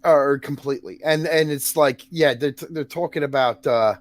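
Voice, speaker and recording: A man's voice: on the high side, moderate pace, no room sound. A man with a New Zealand accent hosting a casual podcast, rambling through long stories with many restarts and laughing at what he describes. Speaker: podcast host.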